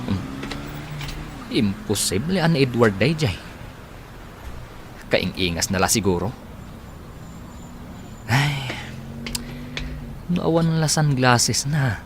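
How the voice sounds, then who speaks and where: A man's voice: mid-range voice, noisy background. A man playing a puzzled, insistent young working man in an Ilocano comedy radio drama, musing and questioning.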